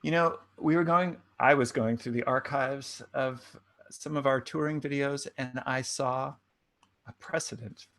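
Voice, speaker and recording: A man's voice: mid-range voice, fairly even intonation, measured pace, a bit bright. A man co-hosting a home livestream in casual, rambling chat with a wry, whimsical manner. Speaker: livestream co-host and musician.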